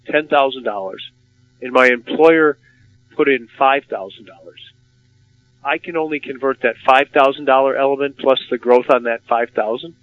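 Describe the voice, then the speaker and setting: A man's voice: medium pitch, moderate pace, dry recording. A man hosting a radio finance discussion, asking questions and often repeating words as he starts. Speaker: radio host. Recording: dull lo-fi radio sound.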